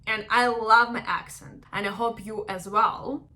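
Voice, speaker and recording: A young woman from Ukraine talks straight to the camera with a Russian accent. Her voice is bright and fairly high, and her tone is earnest and encouraging as she keeps checking in with her viewers. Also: fairly even intonation, unhurried, low-quality recording. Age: young adult. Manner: earnest, encouraging, often asks "right?". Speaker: vlogger. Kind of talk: casual talk to camera.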